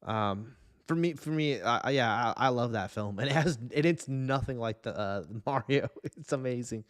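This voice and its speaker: A man with an average-pitched voice hosts a relaxed group chat. He talks informally and conversationally, often turning to the others for their take. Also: moderate pace. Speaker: podcast host. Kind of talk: casual chat, movie ranking discussion.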